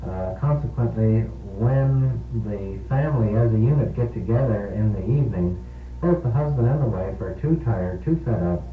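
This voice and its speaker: Guy on old 70s radio, low voice, kinda formal n wordy, thin phoney sound. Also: lively intonation, normal speed, distant mic, soft background music. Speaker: talk show host. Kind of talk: local radio discussion. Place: radio program, distant-sounding and echoey. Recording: tinny, echoey 1970s radio aircheck, humming noise.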